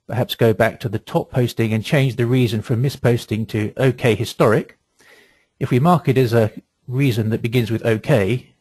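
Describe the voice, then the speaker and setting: Husky-voiced man presenting a webinar. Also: lowish voice, darkish tone, no echo. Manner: methodical, measured step-by-step explaining. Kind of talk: software demonstration, explanatory walkthrough.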